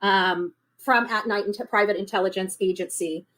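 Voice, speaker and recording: A woman's voice: medium pitch, fairly even intonation, moderate pace, a bit bright, poor audio. A woman giving casual, opinionated online commentary in a blunt, indignant way, repeating words for emphasis. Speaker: online commentator on a missing-person case.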